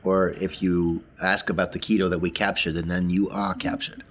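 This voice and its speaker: A man playing a tabletop roleplaying game, talking through plans casually in a halting, deliberate way. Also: low voice, level tone, a bit bright, slight background noise.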